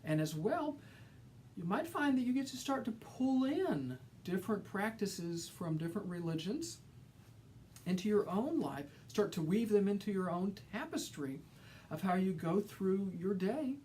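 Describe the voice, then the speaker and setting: A man's voice: high voice, expressive, a little slow, a little mellow, a little hiss or hum. A middle-aged man from Alabama with a Southern accent, giving an earnest, enthusiastic welcome lecture as a college instructor, often repeating phrases for emphasis. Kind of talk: lecture-style course welcome.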